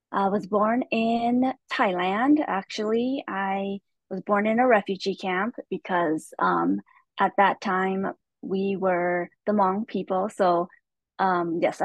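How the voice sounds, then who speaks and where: A young woman from California telling her life story as a podcast guest, soft-spoken and hesitant with many pauses. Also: medium pitch, no room sound. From Stockton, California.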